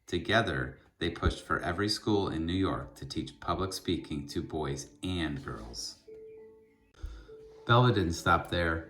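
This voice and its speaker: A man with a low voice reads a children's storybook aloud. His delivery is expressive and deliberate, leaning on key words with short pauses. Faint music plays behind him. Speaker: school principal reading a children's book aloud.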